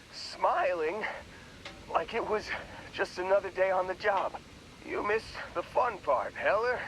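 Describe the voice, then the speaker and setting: An actor playing a groggy young space miner in a video game, talking in a wry, rambling, hesitant way. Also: male voice, high-pitched, fairly bright tone, a little hiss or hum, full character voice, rough recording. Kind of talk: video game character dialogue.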